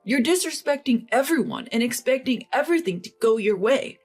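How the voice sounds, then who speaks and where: Woman as a serious, fed-up young husband, animated. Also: sits high, brisk, slightly rough, faint music behind, voice pushed up. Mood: angry, reproachful. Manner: serious, reproachful. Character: fed-up young husband, quietly angry with his bride. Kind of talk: dramatized online family story.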